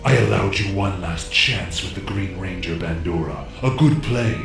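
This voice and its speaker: Imperious, gravelly alien emperor. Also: male voice, low voice, normal speed, reverberant, music in the background. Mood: scornful. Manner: commanding, contemptuous. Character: imperious alien emperor, wrathful, scornful, ruthless warlord. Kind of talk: villain acting in audio drama.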